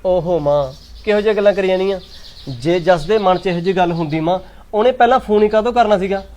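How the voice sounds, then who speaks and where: A man plays a patient young son who keeps soothing his upset mother. His voice sits high for a man and he talks very quickly, piling on explanations. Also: darkish tone, a little hiss or hum, close to an everyday voice. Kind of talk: family drama acting.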